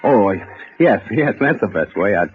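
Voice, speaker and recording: Actor playing a deep-voiced, haunted, nervous ex-convict. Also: male voice, expressive, natural voice. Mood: nervous, halting. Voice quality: low-pitched, hesitant voice. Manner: nervous, halting, stammering, brooding. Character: anxious, guilt-haunted ex-convict narrating his obsession. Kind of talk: old-time radio suspense acting. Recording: muffled vintage radio recording with music.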